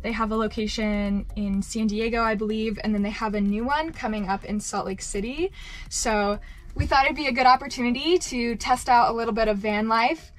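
A young woman giving an upbeat, giggly tour inside a camper van, talking to a handheld camera. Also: a little high. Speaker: vlogger. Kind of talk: casual van tour vlog. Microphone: handheld camera, close then walking around.

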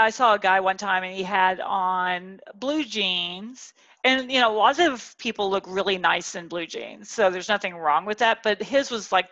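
A woman hosting a casual chat, talking in an animated way and often laughing as she tells her stories. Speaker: talk show host. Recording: dull, muffled low-fidelity audio.

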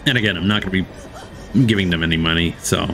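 A man's voice: low-pitched, fairly even intonation, quick pace, background noise. A man giving casual live reactions as a streamer, sceptical and unimpressed. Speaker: streamer reacting to a games showcase.

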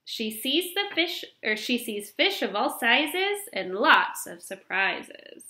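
Young woman, higher-pitched bright voice, children's storybook read-aloud, expressive delivery. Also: slow. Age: young adult. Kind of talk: reading a children's picture book aloud. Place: small indoor room at home. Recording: roomy, echoing home webcam recording.

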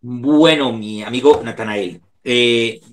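A man's voice: mid-range voice, takes it slowly. A middle-aged man with a Colombian accent, speaking earnestly in a preacher-like way as he gives a devotional talk over a video call. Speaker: psychologist giving a talk. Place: indoor room, on a video call. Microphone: video call microphone.

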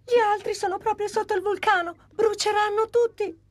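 A woman's voice: extremely high pitch, fairly even intonation, fairly quick. A woman voicing a young, eager and adventurous boy dinosaur in a dubbed cartoon. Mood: frightened. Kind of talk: Italian cartoon dub.

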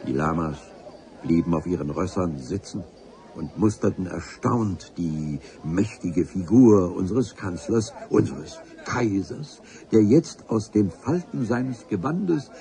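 An actor playing the wry, literate narrator of an adventure radio play, telling it in an ornate, deliberate way. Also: male voice, low-pitched, expressive, mellow, slight background noise, full character voice. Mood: dramatic storytelling.

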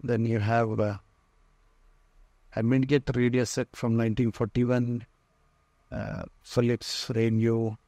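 A man with an Indian accent giving an unscripted museum tour in halting, short phrases, pausing often. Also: mid-range voice, level tone, gravelly, mellow, no room sound. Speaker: museum owner and radio restorer giving a tour. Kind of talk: unscripted walk-through tour commentary. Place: indoor room lined with shelves of old radios.

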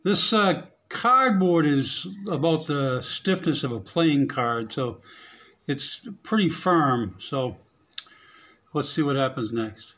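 A man giving casual, unscripted how-to commentary on an electronics project, halting as he thinks aloud. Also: on the high side, animated, fairly bright tone. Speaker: hobbyist narrating an electronics modification. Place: indoors at a work table.

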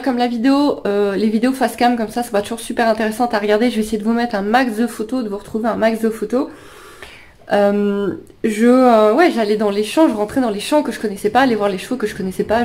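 High, mellow-voiced woman with sniffles. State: slightly ill with sniffles. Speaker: animal vlogger. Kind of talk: casual personal storytelling to camera. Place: living room at home, indoors.

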